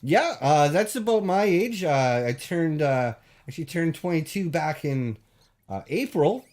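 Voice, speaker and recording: A man hosting a call-in show, bantering deadpan with a caller, full of hesitations and restarts. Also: on the high side, a little slow. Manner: hesitant, full of ums and restarts, straight-faced.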